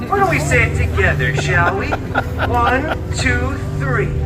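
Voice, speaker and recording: A man playing a wisecracking, hyperactive antihero who tells a comic bedtime story theatrically, with sarcastic, camp asides to the audience. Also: high-pitched. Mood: playful, chatty. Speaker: theme park live show performer. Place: outdoor theme park show, amplified, filmed from the audience. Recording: loud music and crowd, distant outdoor amplified sound.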